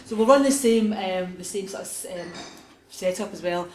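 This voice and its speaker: Glaswegian session chair, jokey. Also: male voice, high voice, moderate pace. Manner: jokey, informal. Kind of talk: introducing speakers. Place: seminar room. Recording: echoey room, clear background noise.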